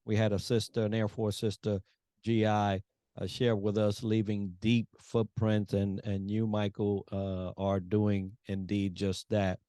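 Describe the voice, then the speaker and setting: A man hosting a podcast, asking his guest questions in a measured way with frequent fillers. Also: lowish voice, little pitch movement, no room sound, studio quality. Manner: measured, hesitant with frequent fillers, warmly appreciative. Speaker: podcast host. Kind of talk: interviewing a guest.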